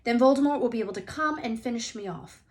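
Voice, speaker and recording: A woman voicing an anxious, excitable young schoolboy wizard in a storybook, urgent and questioning. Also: on the high side, quick pace, pitched above the performer's own voice, natural voice. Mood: feverish, agitated.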